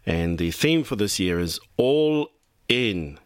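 A man's voice: lowish voice, very animated, takes it slowly, clear, resonant tone, no echo. A man hosting a community radio program from a studio, talking in a loose, rambling way with many restarts. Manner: warm-hearted, rambling, many fillers and restarts. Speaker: radio host. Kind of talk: community radio presenting and interviewing.

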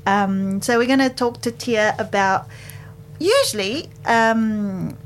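A woman's voice: a little high, animated, slow pace, a little hiss or hum. A woman from Japan who partly grew up in England, hosting a community radio show in a studio with a chatty, friendly presenting style. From Japan, childhood partly in England. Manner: chatty, laughs often. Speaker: radio host. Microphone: studio microphone.

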